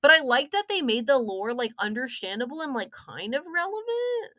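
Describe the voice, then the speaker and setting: Young female game reviewer, bright forceful tone, playful and slangy, loose rambling commentary. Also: high-pitched, very animated, moderate pace, dry recording, clean studio sound. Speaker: video game story reviewer.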